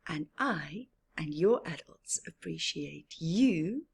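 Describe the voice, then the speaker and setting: A woman reading a picture book aloud expressively for young children, pausing for asides to her listeners. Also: deep voice, animated, creaky, breathy edge. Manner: slow, deliberate storyteller addressing small children. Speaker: children's story reader.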